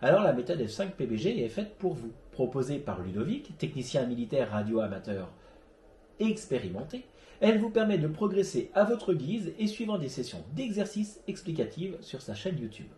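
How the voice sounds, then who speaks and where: A man presents a hobby web show from a prepared script. His voice sits slightly high and swings widely in pitch, with a soft, mellow tone. He speaks earnestly and instructively to his viewers. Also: sing-song, moderate pace, slight background noise. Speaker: host of an amateur radio web show. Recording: roomy, echoey sound.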